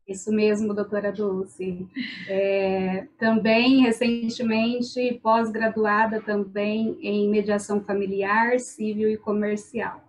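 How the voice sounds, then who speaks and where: Slow, deliberate woman mediator. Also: mid-range voice, little pitch movement, poor audio. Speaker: mediator and guest. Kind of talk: interview answers.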